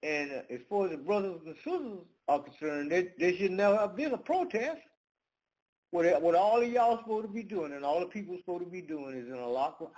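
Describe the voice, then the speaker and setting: A man's voice: fairly high pitch, a little mellow, poor audio. A man hosting his own channel, talking his viewers through a topic in a loose monologue full of fillers and restarts. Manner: halting, many fillers and restarts. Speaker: channel host. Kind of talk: casual monologue to camera.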